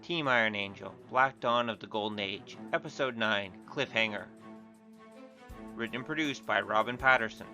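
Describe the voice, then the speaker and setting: A man's voice: on the low side, normal speed. A man reading scripted end credits as the announcer of an audio show. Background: loud music.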